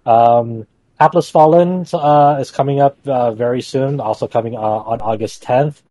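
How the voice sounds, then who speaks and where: A man's voice: medium pitch, normal speed, warm tone, no room sound. A man co-hosting a gaming podcast in casual chat. He talks loosely, with many fillers. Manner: loose, filler-heavy, lots of "uh" and "like". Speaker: podcast co-host.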